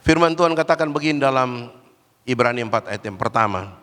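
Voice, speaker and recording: A man preaching a Pentecostal sermon while fighting a cold and cough, swinging between loud, raised exhortation and low, quiet asides. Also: animated, fairly quick. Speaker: guest preacher. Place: large church hall. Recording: church hall with long reverb.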